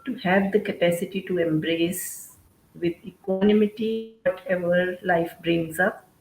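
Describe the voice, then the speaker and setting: A woman's voice: on the low side, steady tone, unhurried. A woman answering conversationally as a panelist in a group discussion. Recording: roomy echo, faint ambient noise.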